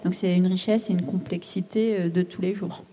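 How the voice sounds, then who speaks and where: A woman writer on a panel who speaks hesitantly, with many pauses and restarts. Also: fairly low pitch, steady tone, mellow, breathy edge, big-room sound, rough recording. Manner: hesitant, with frequent fillers and restarts. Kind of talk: panel discussion.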